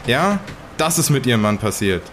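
A man playing a guilt-laden, evasive smuggler in an improvised audio drama, with terse, halting, resigned delivery. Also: medium pitch, very animated, fairly bright tone, slight background noise. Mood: agitated, blunt.

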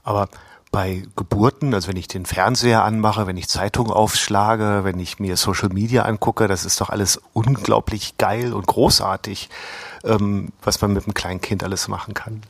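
A man's voice: lowish voice, fairly even intonation, normal speed, gravelly, husky, no room sound. A man hosting a podcast, speaking conversationally and asking guiding questions. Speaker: podcast host. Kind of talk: interview hosting.

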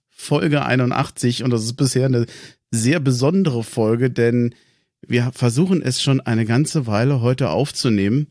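A man's voice: medium pitch, a little mellow, dry recording, clean studio sound. A man hosting a fan podcast, chatty and casual, often repeating words as he starts a sentence. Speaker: podcast host.

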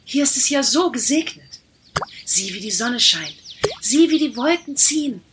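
A woman voicing small sparrows and flowers in a fairy-tale audio play, gentle and innocent. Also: high voice, expressive, normal speed, noisy background. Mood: innocent concern.

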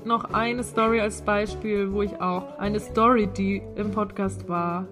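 A woman in her twenties co-hosting a podcast in casual chat, giggly and excitable. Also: medium pitch, measured pace, over music. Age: 20s. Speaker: podcast co-host.

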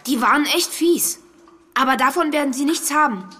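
High-voiced plucky young boy. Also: normal speed, bright, forceful tone, soft background music. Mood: eager, defiant. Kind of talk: German children's audio drama.

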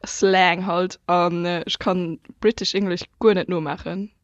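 A woman's voice: on the low side, fairly even intonation, unhurried, no echo, lo-fi sound. A woman with a Luxembourgish accent co-hosting a podcast in casual chat, laughing often and breaking off into restarts. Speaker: podcast co-host.

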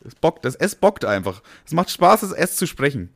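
A man's voice: fairly high pitch, sing-song, normal speed, creaky, fairly bright tone. A young man co-hosting a podcast in casual, humorous chat, slangy and rambling. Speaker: podcast co-host.

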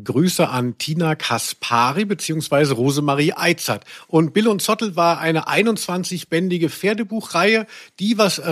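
A man's voice: a little high, fairly bright tone. A man co-hosting a podcast, chatting casually in a wordy, tongue-in-cheek way. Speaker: podcast co-host. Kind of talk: casual, chatty media discussion.

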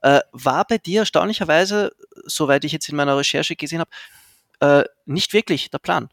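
A man's voice: fairly high pitch, normal speed, gravelly, a bit bright, dry recording, clean studio sound. A man hosting a casual podcast interview who speaks hesitantly and fills his questions with many fillers. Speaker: podcast host.

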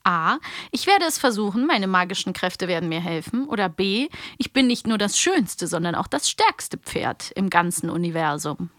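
A woman's voice: medium pitch, big pitch swings, normal speed, few pauses, fairly bright tone, dry recording. Woman co-hosting in a dry close recording. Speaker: podcast co-host. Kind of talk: casual chat.

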